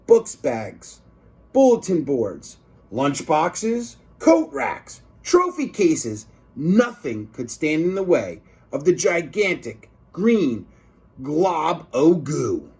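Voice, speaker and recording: Slow-paced man reading a children's book. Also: a little high, husky. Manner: expressive, emphasizing words for children. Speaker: teacher reading aloud to students. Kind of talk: children's book read-aloud.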